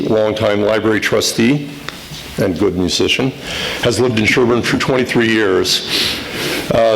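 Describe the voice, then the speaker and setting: A man reading a prepared endorsement at a town meeting, measured with small hesitations. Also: lowish voice, a little hiss or hum, low-quality recording. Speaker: resident giving nominating speech.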